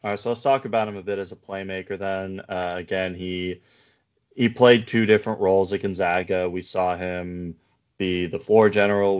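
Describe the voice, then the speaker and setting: A hoarse man with a very deep, flat voice talks basketball in a hesitant, low-key way. He pauses often and restarts his sentences. The audio is slightly dull and lo-fi. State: hoarse, voice worn from yelling. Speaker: basketball draft podcast host; coach. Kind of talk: casual sports analysis chat.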